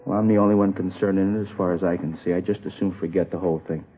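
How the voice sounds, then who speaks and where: A man's voice: sits low, steady tone, racing, lightly acted. A man playing a reluctant, evasive middle-aged businessman, curt and dismissive, in 1950s radio acting. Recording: thin, muffled vintage radio recording.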